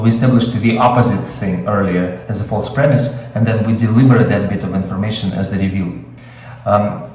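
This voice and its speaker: A man's voice: fairly low pitch, darkish tone, heavy reverb, recorded from a distance, rough recording. A man with a Russian accent, Russian his first language, teaching screenwriting in an improvised webinar, explaining with many fillers and restarts. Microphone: video call.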